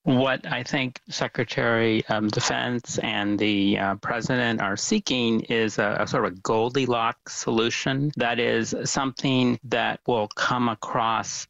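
A man answering interview questions as an expert analyst, measured and formal with some hesitation. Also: medium pitch, steady tone, gravelly, fairly bright tone, no room sound. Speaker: think tank analyst. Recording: dull lo-fi sound.

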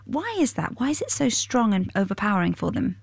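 A woman's voice: medium pitch, big pitch swings, a little fast, vocal fry, husky, no echo. A woman hosting a podcast, moving between scripted narration and interview questions. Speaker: podcast host.

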